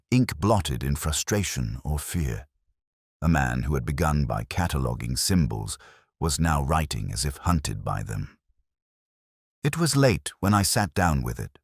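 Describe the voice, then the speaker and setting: A man narrating a first-person detective story in a measured, literary style, brooding, deliberate and understated in his delivery. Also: extremely low pitch, breathy edge, no echo, clean studio sound.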